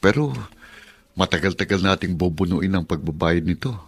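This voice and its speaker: A man's voice: low voice, normal speed, breathy edge, dry recording. A man playing a devoted, reassuring young Filipino husband in a radio drama. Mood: tender, reassuring.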